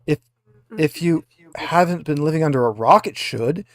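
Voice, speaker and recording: A man voicing a haughty, impatient corporate woman liaison in a tabletop game, talking down to people in an informal, halting way. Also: on the high side, dry recording, natural voice. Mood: condescending. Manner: dismissive, condescending, hesitant informal delivery.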